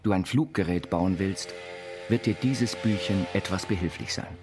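A visionary, self-taught inventor in a radio play. He recites his writings earnestly in a low voice, with prominent music underneath. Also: normal speed. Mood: earnest, instructive. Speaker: actor reading an inventor's writings.

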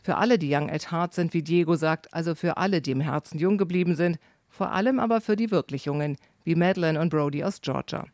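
A man narrating a scripted radio feature in a measured, descriptive way. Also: fairly high pitch, a little mellow, no echo, studio quality.

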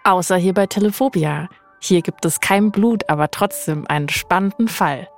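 Low-pitched woman narrator with creaky edge. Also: normal speed, soft background music. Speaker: podcast host and narrator. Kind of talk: scripted podcast narration.

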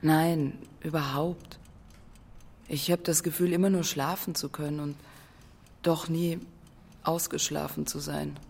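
Creaky-voiced, weary male patient. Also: fairly high pitch, level tone, a little slow, long pauses, darkish tone. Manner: weary, sceptical. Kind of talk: radio drama acting. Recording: clear background noise with ticking clock.

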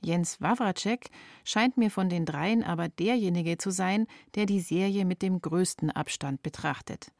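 Low-voiced woman narrating a radio feature. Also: a little slow, a little mellow, dry recording, studio quality. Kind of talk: scripted first-person radio feature narration.

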